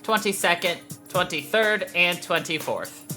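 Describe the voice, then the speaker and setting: A woman with a low, lively voice streams a video game. She comments casually and reads narration aloud, with clear game music behind her. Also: a little slow.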